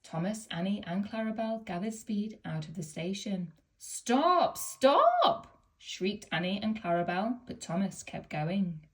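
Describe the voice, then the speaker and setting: A woman's voice: mid-range voice, normal speed, hardly any pauses. Woman reading a children's story aloud. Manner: expressive, gentle storytelling.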